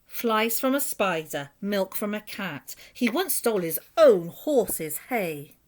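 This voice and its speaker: A woman reading a rhyming children's picture book aloud as an expressive storyteller. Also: mid-range voice, slow.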